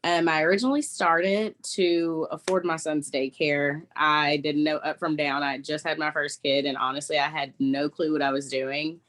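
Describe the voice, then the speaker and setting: Low-voiced woman from Texas. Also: steady tone, moderate pace, few pauses, a bit bright. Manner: hesitant, many ums. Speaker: guest sharing her story. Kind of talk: personal testimony. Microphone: video call.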